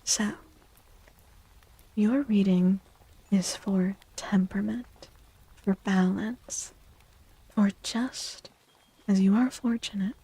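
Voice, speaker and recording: Woman, soft-spoken ASMR streamer, mellow tone, breathy, gentle, crackly vocal fry, drifting toward whispers. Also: mid-range voice, fairly even intonation. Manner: gentle, slow, repeats phrases, often slipping into whispers. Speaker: streamer and tarot reader. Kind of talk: soft-spoken ASMR livestream with casual chat.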